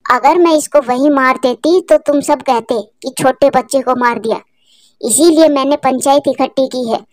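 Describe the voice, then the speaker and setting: A woman's voice: sits high, normal speed, dry recording, clean studio sound, natural voice. A woman voicing a stern, unforgiving female eagle who commands and threatens in a cartoon.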